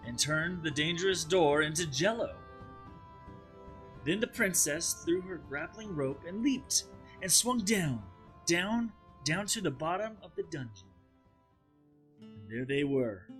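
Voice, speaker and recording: A man hosts a children's read-aloud. He narrates dramatically at a slow pace, with very varied intonation. Music runs under the voice. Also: on the high side, fairly bright tone. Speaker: children's story reader, host. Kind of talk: dramatized scripted narration, friendly sign-off to young viewers.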